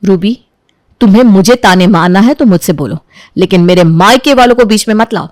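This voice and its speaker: A woman playing a young daughter-in-law in a story, firm and measured, polite but standing her ground. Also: medium pitch, a little mellow, dry recording, studio quality, lightly acted. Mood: restrained, firm.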